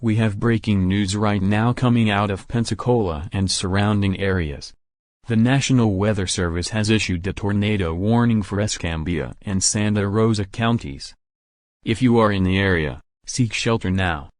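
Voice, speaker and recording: Man playing an urgent low-voiced breaking-news anchor. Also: moderate pace, dry recording, studio quality, close to an everyday voice. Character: male breaking-news broadcaster, urgent, increasingly alarmed. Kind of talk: breaking-news radio announcing in a fan drama.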